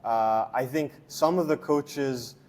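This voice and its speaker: A man's voice: medium pitch, takes it slowly. Chatty young man, easygoing answers. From Hong Kong. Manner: relaxed, chatty, many fillers and restarts. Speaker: strength and conditioning coach. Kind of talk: casual interview answers.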